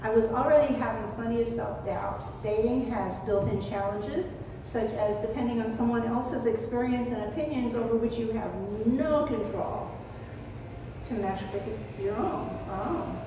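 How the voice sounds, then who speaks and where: Older woman speaking in a very echoey hall. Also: on the high side. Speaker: speaker at a skepticism conference. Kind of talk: prepared personal talk, read from notes. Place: meeting room, at a lectern, indoors. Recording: very echoey hall, distant mic, noisy.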